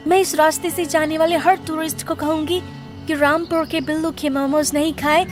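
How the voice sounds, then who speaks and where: A woman's voice: high-pitched, little pitch movement, normal speed, music in the background, close to an everyday voice. A woman voicing a gracious, delighted rich young lady traveller in a cartoon story, mixing in English exclamations. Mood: delighted, grateful.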